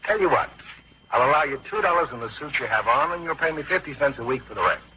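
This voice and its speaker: A man's voice: mid-range voice, animated, quick pace, lightly acted. Man playing a wry pawnbroker, wildly swooping delivery. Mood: indulgent, coaxing. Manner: wry, haggling, folksy inverted phrasing. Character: wry, kindly male pawnbroker with a soft heart. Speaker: actor. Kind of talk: vintage American radio drama acting. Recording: dull, lo-fi vintage radio recording.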